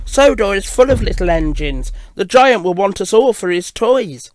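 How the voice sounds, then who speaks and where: A man's voice: high-pitched, lively intonation, moderate pace, a little hiss or hum, voice pushed up. A man voicing a plucky, earnest young male tank engine, eager and exclaiming. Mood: alarmed.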